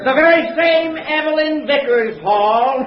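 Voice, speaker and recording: An actor playing a rousing guild orator, a man speaking deliberately to a mass meeting in a 1950s radio drama. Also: very high voice, some chatter behind. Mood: triumphant. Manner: declamatory, deliberate public oratory. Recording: echoey, dull vintage radio recording.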